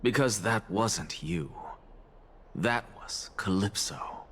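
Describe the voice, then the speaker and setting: Slow, theatrical, arrogant scholar. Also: male voice, on the low side, fairly bright tone, slightly rough, heavily acted. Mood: lecturing. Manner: deliberate, grandiose, lecturing. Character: arrogant, theatrical male scholar professor, coolly obsessed with truth. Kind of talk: dramatic video game voice acting.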